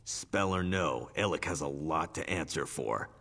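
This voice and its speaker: A man playing a blunt, battle-weary fighter with a gravelly voice in a fantasy video game. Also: extremely low pitch, a little slow, sharp tone, heavily acted. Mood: grim, determined. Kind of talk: scripted video game dialogue.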